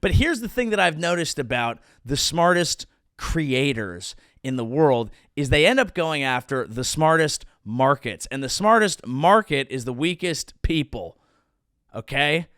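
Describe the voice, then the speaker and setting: A man's voice: fairly high pitch, expressive, measured pace, a bit bright, dry recording, studio quality. A man in his thirties hosting a comedy show, delivering a sarcastic monologue with mock-outraged rants. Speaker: comedian host.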